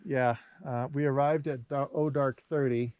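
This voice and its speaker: A man's voice: mid-range voice, fairly even intonation, moderate pace, no echo, narrow, tinny audio. A man chatting casually and jokingly outdoors as a guest on a vlog. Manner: joking, informal. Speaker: hiking companion and guest. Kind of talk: casual chat. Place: outdoors in cold mountain country.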